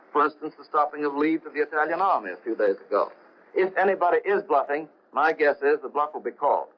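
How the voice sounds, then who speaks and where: Dull-sounding 1940s male radio correspondent, higher-pitched. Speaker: overseas radio news correspondent. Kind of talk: 1940s radio news report. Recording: dull, lo-fi 1940 shortwave radio broadcast.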